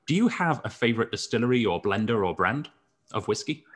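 A man's voice: lowish voice, sing-song, a little fast, husky. Jokey male whisky show host. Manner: jokey, chatty, laughs easily. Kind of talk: casual interview chat. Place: indoors, home room with framed pictures. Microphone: studio microphone on desk.

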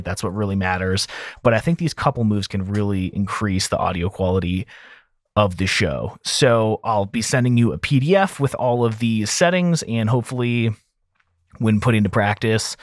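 Low-pitched man giving a casual tutorial. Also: no room sound, clean studio sound. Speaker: audio engineer host of a mixing series. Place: small home studio room, indoors. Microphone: close large broadcast mic on an arm.

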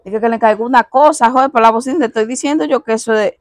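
A woman's voice: fairly high pitch, rapid-fire, no room sound. Grumbling woman, dry sound. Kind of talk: scripted comedy sketch. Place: outdoor porch of a rural house.

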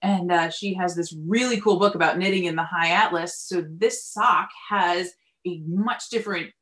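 A woman in her forties with a somewhat low voice chats as a guest on a video call from a shop. She explains and tells anecdotes in a casual, conversational style. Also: moderate pace, fairly bright tone. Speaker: yarn farm owner, guest. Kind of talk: casual video chat, storytelling. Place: indoors in a yarn shop lined with wool shelves. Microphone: computer mic on a video call.

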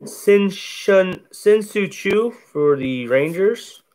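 A man with a deep, bassy voice calmly calls out each baseball card he opens at a table indoors, in a relaxed running commentary. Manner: slow, laid-back play-by-play of each card. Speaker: card break host. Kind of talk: casual card-opening commentary. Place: indoors at a table covered in cards.

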